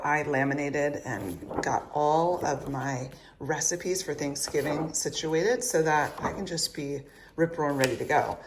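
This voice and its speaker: A young woman with a husky morning voice, chatting playfully to viewers in a cook-along vlog from her kitchen. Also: sits low, noisy background. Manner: bubbly and playful, talks to viewers while cooking. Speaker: cooking vlogger. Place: home kitchen. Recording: echoey kitchen.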